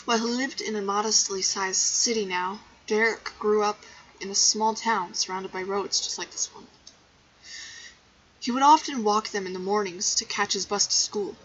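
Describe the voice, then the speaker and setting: A woman's voice: mid-range voice, fairly even intonation, moderate pace, a bit bright, husky, muffled recording. A young woman chatting casually on a game livestream in a playful, goofy way, recorded in her bedroom. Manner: playful, goofy, breaks into song. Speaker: game streamer.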